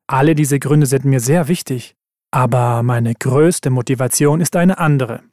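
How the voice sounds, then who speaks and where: A man reading scripted exam texts aloud in an even, careful way. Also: medium pitch, measured pace, dry recording, clean studio sound. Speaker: exam recording reader.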